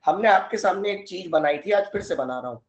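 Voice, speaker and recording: A man teaching a live online law class with a hoarse, sore throat, often clearing it and mixing in English terms. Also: high-pitched, expressive, brisk, echoey room, muffled recording.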